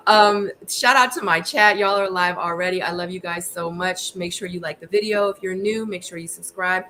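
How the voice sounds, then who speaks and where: A woman hosting her live stream, greeting the chat and hyping up her guest. Her voice is bright and clear, with a faint background hiss. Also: on the low side, moderate pace. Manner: chatty, hypes up the chat. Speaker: livestream interview host. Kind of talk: casual livestream chat.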